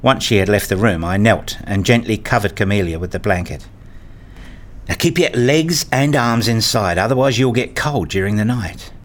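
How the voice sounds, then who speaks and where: A weary father played by a man tells his own story in a radio play. His voice is fairly low, and his delivery is measured and sombre. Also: natural voice. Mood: tender, tired. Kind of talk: first-person radio play narration and acting.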